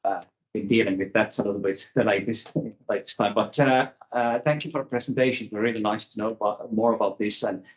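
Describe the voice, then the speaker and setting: A man's voice: lowish voice, steady tone, brisk, highs cut off. A man from Finland in the audience asking a question, hesitant and often restarting his words.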